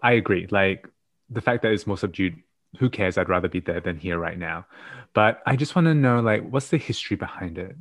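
A low-voiced man, first language Mandarin and from Australia, co-hosts a podcast in a camp, mock-dramatic and playful way. His voice sounds dry and close to the mic. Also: animated, brisk, fairly bright tone, dry recording. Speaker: podcast co-host. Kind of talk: casual podcast chat.

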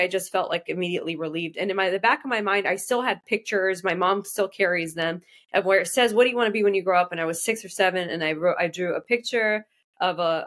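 A woman's voice: mid-range voice, a bit bright. A woman guest from Iraq, a dentist, talking casually in an interview and often restarting her sentences. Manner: fast, restarting, self-correcting speech.

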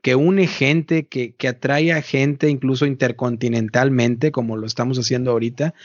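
A man's voice: medium pitch, steady tone, normal speed, dry recording. A man hosting a board game podcast in casual conversation, chatty and asking questions, often repeating words. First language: Spanish. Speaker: podcast host. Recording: dull, muffled dry audio.

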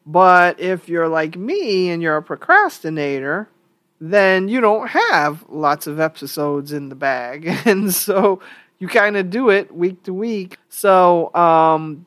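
A woman's voice: on the low side, lively intonation, slow, fairly bright tone, no echo. A woman hosting her own opinion podcast, still a little congested from a cold, talking in a conversational, opinionated way. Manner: conversational and opinionated, thinking aloud with fillers. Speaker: podcast host. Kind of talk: solo opinion podcast monologue.

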